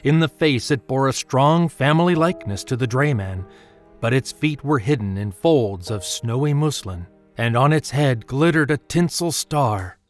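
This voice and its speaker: A man narrating a Christmas story in an audio drama, with measured, expressive literary delivery. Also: medium pitch, lightly acted. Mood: measured wonder. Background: music under the voice.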